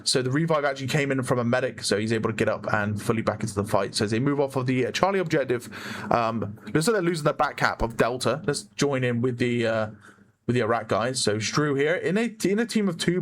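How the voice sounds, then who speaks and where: Hoarse male esports caster. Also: mid-range voice, lively intonation, fast talker. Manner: chatty play-by-play, laughs often, self-correcting. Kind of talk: live game commentary.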